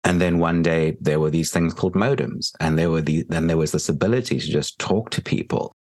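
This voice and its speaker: South African man, 40s, very low voice, reflective podcast guest. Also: brisk, a little mellow. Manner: reflective, with frequent ums and restarts. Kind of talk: podcast interview.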